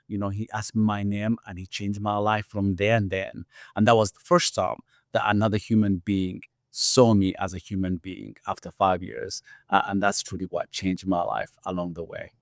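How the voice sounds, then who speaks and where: Ugandan guy telling his story, earnest, lowish voice, dry mic, lots of "you know". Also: no echo. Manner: earnest, reflective, repeats "you know" often. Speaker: podcast guest.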